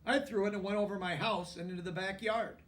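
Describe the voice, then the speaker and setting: Male storybook reader, high-pitched and expressive with pauses for emphasis, recorded at a slight distance. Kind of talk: children's book read-aloud with brief asides.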